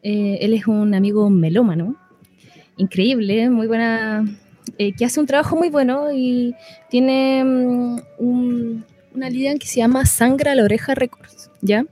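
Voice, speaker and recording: A woman's voice: fairly high pitch, fairly even intonation, measured pace, dark tone, soft background music. A woman with a Chilean accent speaking as a musician guest in a casual interview, informal and a little hesitant in her phrasing.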